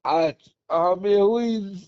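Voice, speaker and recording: A man's voice: high voice, extremely slow, clean tone. A man with a Bhojpuri accent in a heated, emotional personal argument, pleading and insistent as he repeats himself. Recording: muffled, echoey leaked call recording.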